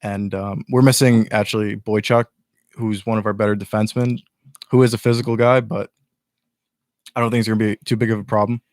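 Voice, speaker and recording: A man's voice: on the low side, level tone, fairly quick, a little mellow, no room sound, studio quality. A young man guesting on a casual chat show, earnestly breaking down his team's chances. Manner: earnest, explains at length with restarts.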